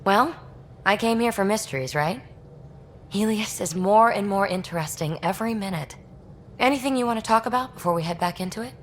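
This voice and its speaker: Woman as a curious explorer, faint rumble behind. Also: animated, fairly quick, lightly acted. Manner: conversational, inquisitive. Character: curious, upbeat female science-minded space explorer. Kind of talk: video game dialogue acting. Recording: faint rumbling background noise.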